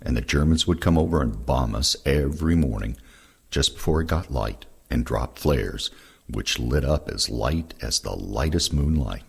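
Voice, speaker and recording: A man's voice: rumbling low, animated, moderate pace, creaky, breathy edge. A man reading first-person war memoirs aloud in a plain-spoken, folksy storytelling way. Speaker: reader of veterans' oral histories.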